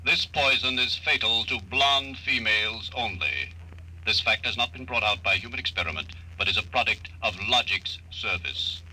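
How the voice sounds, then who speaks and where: A man's voice: on the low side, moderate pace, husky, full character voice, low-quality recording. A man playing an emotionless computer in an old radio drama, speaking in a formal announcer manner. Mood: impersonal, matter-of-fact. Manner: flat, formal, announcer-like delivery. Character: emotionless talking computer answering questions. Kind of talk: 1950s radio drama acting. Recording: old radio recording, faint hum.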